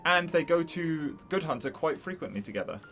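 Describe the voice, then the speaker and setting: A woman giving relaxed, chatty commentary over gameplay at a desk mic, with giggly asides. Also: low-pitched, brisk, faint music behind. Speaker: gaming video creator.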